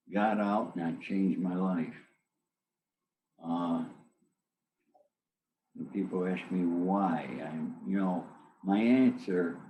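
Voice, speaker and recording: A man's voice: sits low, warm tone. An older American man from upstate New York telling his own story in plain, colloquial conversation as an interview guest. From upstate New York, USA. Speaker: interview guest, former mobster. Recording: echoey room with faint noise.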